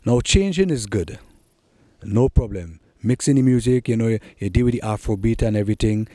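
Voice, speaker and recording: A man's voice: lowish voice, expressive, a little fast, dark tone, no room sound. A middle-aged man with a Trinidadian accent, answering interview questions in an earnest, encouraging way. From Trinidad and Tobago. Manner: earnest, encouraging, repeats key points. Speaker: soca artist guest.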